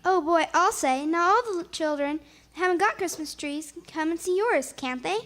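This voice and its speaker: A woman's voice: very high voice, expressive, moderate pace, a bit bright. A woman playing a chirpy, inquisitive little neighbourhood girl in a 1940s radio comedy, eager and childlike. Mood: eager, admiring.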